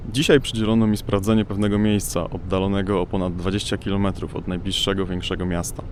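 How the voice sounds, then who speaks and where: A man's voice: low voice, level tone, fast talker, a little mellow, slight background noise, lightly acted. A man acting as a weary, solitary searcher of storm-ravaged homes in an audio drama. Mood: grim, weary.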